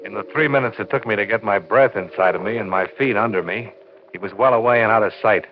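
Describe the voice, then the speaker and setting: An actor playing a tough, blunt insurance investigator in a 1950s radio crime drama. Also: male voice, fairly low pitch, fast, slight background noise, close to an everyday voice. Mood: terse. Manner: hard-boiled, blunt, pressing. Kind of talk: 1950s radio drama acting and first-person narration. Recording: narrow-band vintage radio recording.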